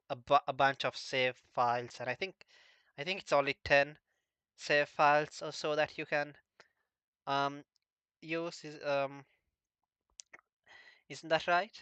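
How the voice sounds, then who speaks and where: A man hosts a casual chat in a bright, clear voice of medium pitch. He hesitates heavily and stammers over word starts. The recording is dry and slightly muffled. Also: no room sound. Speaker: host of a visual novel talk stream.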